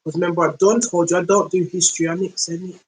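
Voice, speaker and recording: A woman with a London accent telling a story in a casual, slangy monologue, acting out quoted speech in slow, deliberate tones. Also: lowish voice, level tone, rough recording. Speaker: podcast host.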